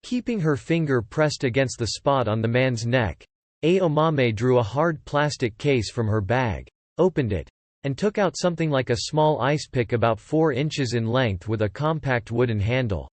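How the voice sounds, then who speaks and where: A man narrating fiction from a script as an audiobook narrator, in a measured, descriptive way. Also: medium pitch, expressive, no room sound.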